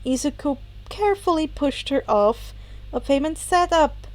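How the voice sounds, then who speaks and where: High-voiced woman reading a story slowly. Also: expressive, darkish tone, no echo. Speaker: amateur fan fiction reader. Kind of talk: audiobook narration.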